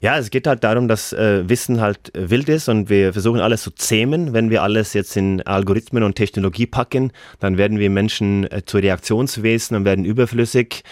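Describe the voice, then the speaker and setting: A man's voice: fairly low pitch, dry recording, studio quality. A man with a Norwegian accent, a German-speaking guest on a radio interview, talking earnestly with many hesitations. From Norway.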